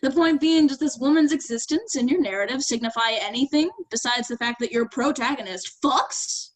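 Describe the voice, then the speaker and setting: A woman's voice: high-pitched, normal speed, poor audio. A woman playing a blunt, dryly sarcastic critic in a staged play reading. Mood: blunt, critical. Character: blunt, sardonic woman critiquing a would-be writer's work. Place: remote virtual staged reading.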